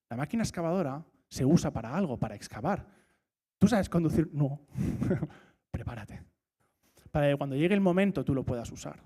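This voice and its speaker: High-voiced man preaching. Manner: lively, rhetorical questions, repeats key points. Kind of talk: sermon. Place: church.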